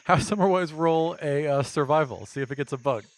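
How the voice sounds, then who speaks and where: A man's voice: a little high, fairly quick. A man narrating a tabletop roleplaying game as game master in a casual, descriptive way. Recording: faint insect noise behind the voice.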